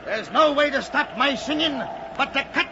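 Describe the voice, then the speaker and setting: An actor playing a jolly, reckless whaling sailor in an old radio drama, boisterous and sing-song. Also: male voice, extremely high pitch, normal speed. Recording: muffled, noisy old radio recording.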